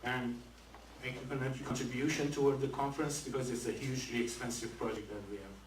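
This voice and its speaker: A man's voice: medium pitch, steady tone, brisk. A man making an earnest announcement to an audience at an event. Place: museum event room with audience. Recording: echoey room from far off, faint noise.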